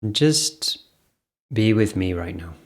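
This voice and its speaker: Slow-paced man guiding a meditation. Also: lowish voice, animated. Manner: gentle pauses between short phrases. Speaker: meditation guide. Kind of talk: guided meditation, improvised.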